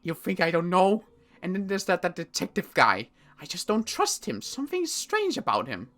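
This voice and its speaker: A performer voicing a distrustful, easily frustrated ex-military sniper in an improvised game roleplay, halting and grumbling. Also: medium pitch, sing-song, a bit bright. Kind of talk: improvised Minecraft zombie roleplay.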